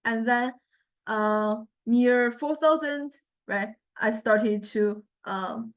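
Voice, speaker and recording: A woman giving an informal lecture and software demonstration, speaking haltingly with repeated word starts and frequent check-in questions. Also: fairly high pitch. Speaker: music technology lecturer.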